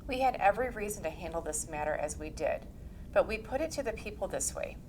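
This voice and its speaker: A woman's voice: medium pitch, expressive, quick pace, darkish tone, poor audio. Woman reading formally, faint background noise. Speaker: reader. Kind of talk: scripted reading of historical religious text.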